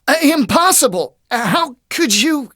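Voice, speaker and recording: Indignant boy mage, drawn-out and animated. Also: high-pitched, full character voice. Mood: outraged. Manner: haughty, outraged, exclamatory. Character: indignant, petulant young boy scholar of magic. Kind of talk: dramatic game voice acting.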